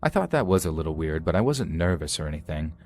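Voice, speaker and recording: A man narrating horror stories in a calm, measured storytelling manner. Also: very deep voice. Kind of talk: scripted narration.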